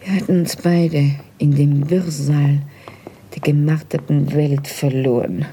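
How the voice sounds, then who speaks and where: An actress playing a tender, resigned wife facing arrest in a radio drama, hushed and breathy, speaking solemnly and intimately. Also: female voice, sits low, fairly even intonation, takes it slowly, a little hiss or hum. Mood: wistful. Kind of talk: literary radio drama acting.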